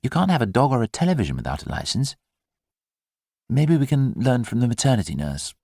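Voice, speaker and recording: A weary new mother in an audiobook, put on by a man. The voice is lifted in pitch and gentle, with hesitant, wry phrasing. It sounds close and dry. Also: huge pitch swings, a little fast, no room sound. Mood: gentle, unsure. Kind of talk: audiobook character dialogue.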